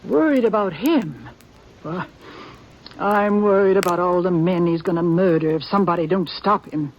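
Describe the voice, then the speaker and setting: An actress playing a stern elderly frontier grandmother in a 1950s radio drama, speaking plain rural talk in a grim, steady way over faint hiss. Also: on the low side, normal speed, muffled recording, natural voice. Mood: bitter. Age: older. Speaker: grandmother of a young gunman.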